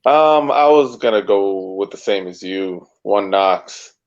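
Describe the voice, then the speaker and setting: A man's voice: on the low side, animated, takes it slowly. A man on a casual sports podcast panel, talking in a hesitant, reflective way on slightly dull audio.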